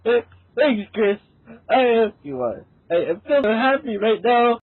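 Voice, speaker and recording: A man's voice: extremely high pitch, big pitch swings, a little slow, no echo, heavily acted. Man playing overwrought pleading guy, tinny sound. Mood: weepy, overjoyed. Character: overwrought, pleading, sarcastic man in comedic fan fiction. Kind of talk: amateur audio drama character acting.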